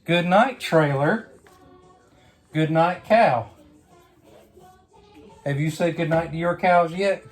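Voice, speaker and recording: Low-voiced woman reading a bedtime story. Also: slow, long pauses, faint music behind. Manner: simple questions and prompts addressed to a small child. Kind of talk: reading a picture book aloud to a young child, with chatty asides.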